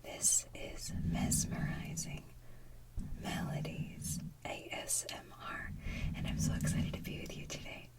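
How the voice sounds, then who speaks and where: A woman hosting an ASMR video, speaking in a soft, breathy, unhurried whisper. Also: distant mic. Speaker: ASMR channel host.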